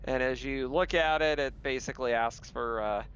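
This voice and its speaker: A man's voice: mid-range voice, moderate pace, a bit bright. A man acting as game master of a tabletop game, narrating in a casual, chatty way. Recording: dull lo-fi audio, faint noise.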